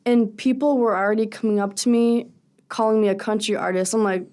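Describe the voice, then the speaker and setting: A young woman speaks with a low, raspy voice. She is a singer guesting on a radio show, and she answers openly and earnestly in long, thoughtful stretches. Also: fairly even intonation, a little fast, darkish tone. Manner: earnest, candid, talks in long thoughtful runs. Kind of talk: casual radio interview. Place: radio studio.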